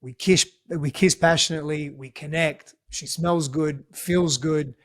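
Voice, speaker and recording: A man's voice: fairly high pitch, fairly even intonation, unhurried, a bit bright. A man with an Australian accent whose first language is Greek, a podcast guest telling a personal story in an earnest, reflective way. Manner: reflective, earnest, frequent restarts. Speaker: guest, relationship coach. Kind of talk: conversational storytelling.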